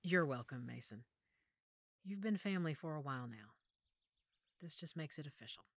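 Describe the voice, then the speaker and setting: A woman playing a motherly, firm female werewolf pack leader in an audio drama, measured and authoritative. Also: low-pitched, expressive, no room sound, studio quality. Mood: warm, resolved.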